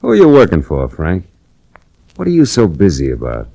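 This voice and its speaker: A deep, shady garage owner. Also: male voice, huge pitch swings, normal speed, vocal fry, dark tone, exaggerated voice. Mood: evasive. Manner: evasive, needling, mock-friendly. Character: shady male garage owner, evasive and needling. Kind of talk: 1940s radio drama acting. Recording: restored old radio broadcast.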